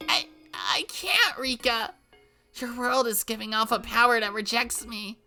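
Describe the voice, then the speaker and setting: A gentle spirit-goddess with a girlish, raised voice calls out in soft, drawn-out pleas, as a woman puts her on. Her tone is bright and clear. Faint music plays behind her. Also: on the high side, voice pushed up. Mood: anxious. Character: gentle anxious girlish deity, distant and pleading.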